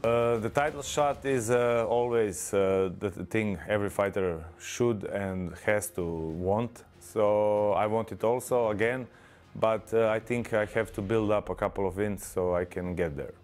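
A man's voice: fairly low pitch, steady tone, a little mellow, faint music behind. A man in his thirties with a Croatian accent, a kickboxer speaking modestly and hesitantly in a pre-fight studio interview. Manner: measured, modest, hesitant with fillers. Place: studio with branded backdrop. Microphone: lapel.

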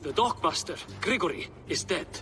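A man's voice: high voice, expressive, normal speed, bright, forceful tone. A middle-aged man playing a guarded Norse ruler in a video game, formal and emphatic. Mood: troubled. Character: guarded, concerned male Norse ruler, husband. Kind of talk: dramatic video game cutscene acting.

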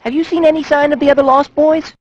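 A woman playing a cocky, adventurous boy hero in a cartoon game, with a husky, bold, breezy delivery. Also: high voice, steady tone, fast, dry recording, highs cut off, natural voice. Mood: brisk, upbeat. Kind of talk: cartoon video game acting.

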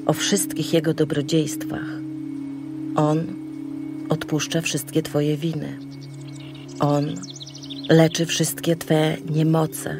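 A devout psalmist in a bible radio play, highish voice, very slow, music under. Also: male voice. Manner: measured, devotional. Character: reverent psalmist praising God. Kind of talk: dramatized psalm recitation in an audio drama.

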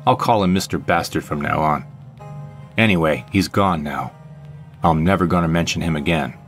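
A man's voice: very deep voice, moderate pace, a bit bright, soft background music. A man playing a brooding, vengeful jilted ex-husband, narrating an audio drama in the first person with melodramatic deliberation. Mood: bitter, bewildered.

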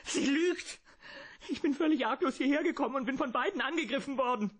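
High-pitched whining, agitated crook. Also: male voice, fairly quick, a bit bright, a little hiss or hum. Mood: panicked, accusing. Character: agitated, evasive foreign crook, whining victim. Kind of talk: German radio play acting.

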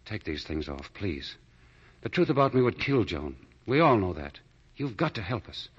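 Romantic fugitive lead: low-pitched, earnest and tender, vintage radio play, dulled archive sound. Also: male voice, big pitch swings, normal speed, slightly rough. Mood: grave, pleading. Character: doomed escaped prisoner, tender, earnest romantic lover. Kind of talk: 1940s radio acting. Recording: muffled 1940 radio broadcast with music.

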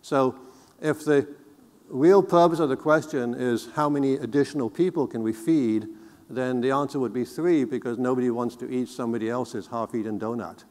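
A middle-aged man giving a conference keynote on a technical subject, explaining in a measured, deliberate way. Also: mid-range voice, a little mellow. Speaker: keynote speaker. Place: large indoor conference hall, on stage. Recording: reverberant hall sound.

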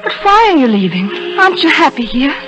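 An actress playing a demure, hesitant young small-town sweetheart in a melodramatic 1930s radio drama. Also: female voice, high-pitched, big pitch swings, brisk. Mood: concerned. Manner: coy, proper, melodramatic. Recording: dull vintage 1930s radio broadcast with music.

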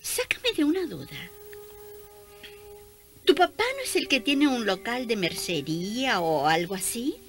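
High, lively young nun. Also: female voice, measured pace, long pauses, soft background music. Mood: kindly. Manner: affectionate, giggly, expressive. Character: gentle, cheerful young missionary nun. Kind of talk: radio drama acting.

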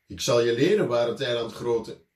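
A man voicing a strict, angry schoolteacher who scolds and shouts. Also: medium pitch, expressive, normal speed, close to an everyday voice. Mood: scolding. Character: stern, angry male schoolteacher. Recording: roomy echo.

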